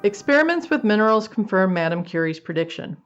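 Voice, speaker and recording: A woman's voice: mid-range voice, big pitch swings, brisk, soft background music. A woman reading scripted narration for an old-time radio drama. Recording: slightly dull, lo-fi sound.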